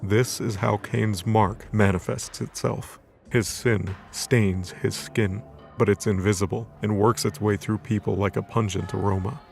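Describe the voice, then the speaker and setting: A man's voice: on the low side, fairly even intonation, a little slow, vocal fry. A man reading scripted, dramatic narration for an audio drama story. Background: background music.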